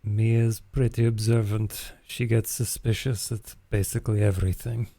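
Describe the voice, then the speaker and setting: A man's voice: lowish voice, little pitch movement, moderate pace, dark tone. A man voicing a sly, teasing vampire with a dry, droll manner in a tabletop game. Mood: wary.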